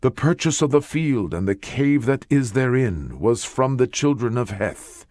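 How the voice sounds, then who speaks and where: Expressive man solemnly reading scripture. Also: mid-range voice, measured pace, dry recording. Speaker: audiobook narrator.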